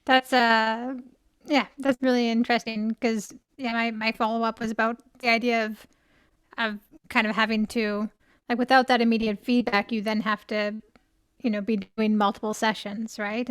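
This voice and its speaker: A woman's voice: on the high side, flat delivery, normal speed, fairly bright tone, clean tone, dry recording, studio quality. A woman hosting an interview over a video call, chatty and conversational, with plenty of restarts and fillers. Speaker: host, interview moderator. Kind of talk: casual interview chat.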